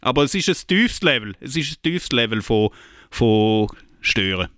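Eastern Swiss German podcast co-host, mid-pitched man, dry close sound, animated rant. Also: moderate pace, smooth, dry recording. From Eastern Switzerland. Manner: animated, ranting. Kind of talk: casual chat.

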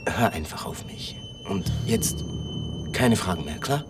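A man's voice: low-pitched, lively intonation, measured pace, background noise. A man playing a strange, anxious sailor in an audio drama, guarded and urgent in manner. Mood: guarded, stern. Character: strange, anxious male sailor, secretive and wary.

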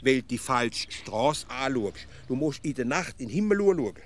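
A man acting as a stubborn small-town Swiss philosopher with a Swiss German accent, earnest and halting. His pitch swings widely as he explains things in a comic radio play, over audible background noise. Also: big pitch swings, natural voice. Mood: insistent. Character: naive know-it-all provincial male philosopher, stubborn, earnest.

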